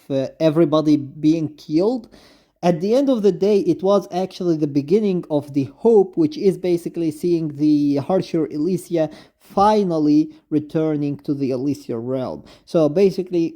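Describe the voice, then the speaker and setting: A man who is not a native English speaker gives unscripted, gushing video game fan commentary, halting and often repeating himself. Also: on the high side, warm tone. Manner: effusive, halting, repetitive. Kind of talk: unscripted fan commentary, reading lines aloud.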